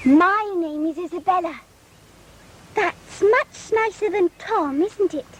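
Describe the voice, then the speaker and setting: A woman's voice: extremely high pitch, takes it slowly, slight background noise, pitched above the performer's own voice. A woman voicing a posh little girl who prattles sweetly and matter-of-factly in a macabre audio drama. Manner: sweetly matter-of-fact about gruesome things.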